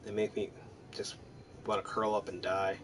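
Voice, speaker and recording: A man's voice: fairly low pitch, flat delivery, moderate pace, fairly bright tone. A man giving casual, rambling, self-deprecating commentary while playing a video game. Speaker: gaming streamer. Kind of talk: casual let's-play commentary.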